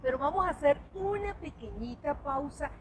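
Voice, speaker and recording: A woman's voice: sits high, animated, normal speed, background noise. Enthusiastic woman hosting a podcast. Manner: enthusiastic, effusive praise of her guest. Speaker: podcast host. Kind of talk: podcast interview.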